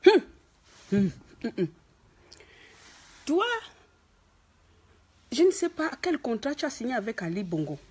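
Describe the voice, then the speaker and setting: A woman's voice: on the high side, sing-song, unhurried, pauses often, darkish tone, slightly rough. A woman from Gabon with a Gabonese French accent, scolding and mocking in an emphatic political rant. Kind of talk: ranting political commentary to camera. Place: office.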